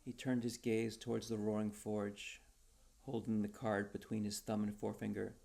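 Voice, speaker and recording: A man reading his own story aloud as a dramatic narrator, with a gravelly, husky voice. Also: fairly low pitch, little pitch movement, normal speed, dark tone. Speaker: author reading own book.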